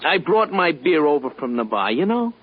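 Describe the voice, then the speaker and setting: An actor playing a nervous, eager-to-please American working-class bar patron in a 1950s radio drama, rambling and repeating himself. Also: male voice, fairly high pitch, sing-song, normal speed, a little hiss or hum. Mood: nervous, placating. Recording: dull vintage radio recording.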